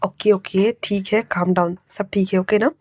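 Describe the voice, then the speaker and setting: A woman playing a firm, caring older man who lowers her voice to scold and soothe. Close and dry, told as a story. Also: fairly quick, darkish tone, no room sound, lightly acted. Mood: stern, soothing. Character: protective older man, stern but caring.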